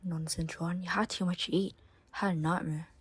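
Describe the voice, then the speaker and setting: A woman playing an officious, dismissive older schoolboy in an audio drama, with a husky voice. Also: lowish voice, steady tone, moderate pace, no room sound. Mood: bossy, dismissive. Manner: pompous, bossy. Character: officious, dismissive older teenage male prefect.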